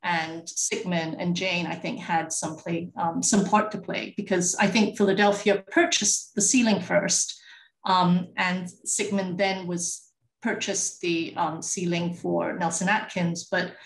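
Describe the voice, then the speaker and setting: A woman's voice: fairly low pitch, fairly even intonation, measured pace, vocal fry, roomy sound, poor audio. A woman museum curator explaining things thoughtfully over a video call. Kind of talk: panel discussion answer.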